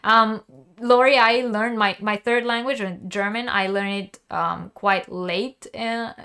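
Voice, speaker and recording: Slow-talking woman teaching crochet. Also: a little high, fairly even intonation, sharp tone, smooth. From Israel. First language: Hebrew. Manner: explanatory, many ums and uhs. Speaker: crochet teacher hosting a live stream. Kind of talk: live-stream tutorial, reading chat.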